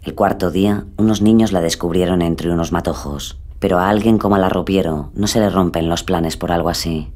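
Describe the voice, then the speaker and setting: A man's voice: deep voice, fairly quick, few pauses, darkish tone. A man giving scripted, dramatic true-crime narration.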